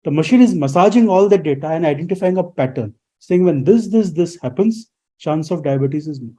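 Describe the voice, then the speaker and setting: High-voiced, mellow man explaining. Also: lively intonation, brisk. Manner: lecturing, poses rhetorical questions. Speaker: guest expert. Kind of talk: explanatory radio interview answers.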